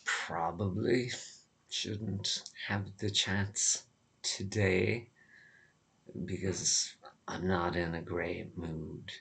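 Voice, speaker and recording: A man speaks in a very low, husky voice that is hoarse and prone to breaking. His delivery is extremely slow, with halting gaps between phrases. He sounds weary and sardonically gloomy as he rambles alone in a personal vlog. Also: steady tone, a bit bright. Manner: halting, with long pauses. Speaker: vlogger. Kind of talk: rambling personal monologue to camera.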